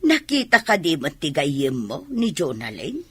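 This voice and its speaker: A woman playing a curious, fretful mother in an Ilocano audio drama, with a husky voice. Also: low-pitched, huge pitch swings, measured pace, full character voice. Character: curious, fretful mother eager to meet in-law.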